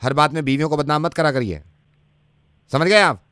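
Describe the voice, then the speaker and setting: A man's voice: on the high side, fairly quick, fairly bright tone, smooth, no echo, lightly acted. A man playing a polite, insistent service agent on a radio prank call, deferential and persistent. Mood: cheerfully insistent. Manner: deferential, repeats "sir", persistent. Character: polite, insistent male service caller, earnest and officious.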